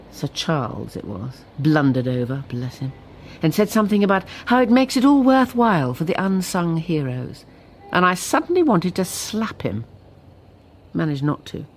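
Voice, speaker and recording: An actress playing a genteel older English wife who has run away, confiding and quietly defiant, in a radio drama. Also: female voice, lowish voice, a little hiss or hum.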